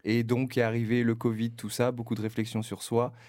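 A man's voice: medium pitch, completely flat delivery, brisk, a little mellow. A man, a stand-up comedian chatting as a guest with frequent laughs and hesitations. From southeastern France, Var. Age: 20s. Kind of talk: casual interview chat.

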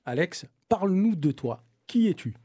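A French man hosts a comedy talk show in a fairly high voice. He teases his guest playfully and slips many fillers into his questions. He keeps the tone light throughout. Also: big pitch swings, moderate pace, dark tone, breathy edge. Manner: playful, teasing, with frequent fillers. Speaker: podcast host.

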